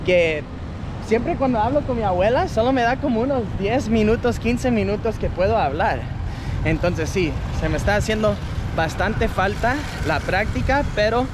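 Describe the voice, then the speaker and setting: A man's voice sitting high for a man, talking loosely to a camera held at arm's length. He stops now and then to hunt for a word and corrects himself. Steady traffic and street noise run behind him outdoors. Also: normal speed. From United States. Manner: chatty, self-correcting, heritage speaker searching for words. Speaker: travel vlogger. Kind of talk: casual walking vlog to camera. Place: city street outdoors in hot midday sun. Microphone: action camera at arm's length.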